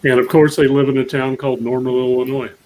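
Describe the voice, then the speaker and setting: Halting man author with fillers. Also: medium pitch, little pitch movement, a little mellow, poor audio. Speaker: author guest. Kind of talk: casual panel chat.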